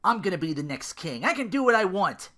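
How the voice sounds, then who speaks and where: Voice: mid-range voice, big pitch swings, brisk, fairly bright tone, voice pushed up. A performer voicing an arrogant, bossy thirteen-year-old prince in a dramatic fan fiction reading, boastful and petulant. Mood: bratty, boastful.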